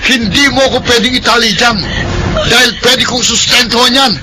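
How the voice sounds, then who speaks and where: A careless young man in a classic radio drama, with a very high voice and a dismissive, defiant manner. Noise sits clearly under the old recording. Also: little pitch movement, normal speed, crowd noise.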